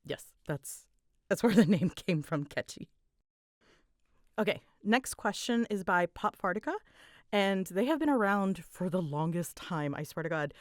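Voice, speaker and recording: Animated, rambling woman, dry close sound. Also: fairly low pitch, a little slow, no echo. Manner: animated, dramatic, voice swoops up and down; scattered, self-deprecating, giggly. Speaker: ASMR audio creator and voice performer. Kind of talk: casual rambling Q&A chat. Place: small closet used for recording.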